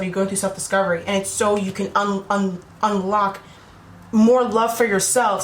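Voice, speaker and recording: A woman's voice: mid-range voice, slight background noise. A woman giving a casual tarot reading to her viewers, talking in a rambling way with frequent "right?" asides while losing her voice. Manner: rambling, repeats "right?" and "you know?" to the viewer. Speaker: tarot reader. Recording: echoey room with faint ambience.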